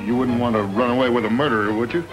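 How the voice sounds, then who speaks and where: A man's voice: mid-range voice, fairly even intonation, racing, lightly acted, poor audio. An actor playing an anguished, guilt-ridden man cursed as a werewolf, in 1940s horror film style. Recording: old film soundtrack with loud music.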